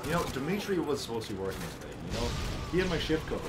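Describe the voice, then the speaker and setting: A man's voice: mid-range voice, sing-song, racing, music in the background. A man co-hosting a gaming channel, joking and ranting in casual banter, laughing often.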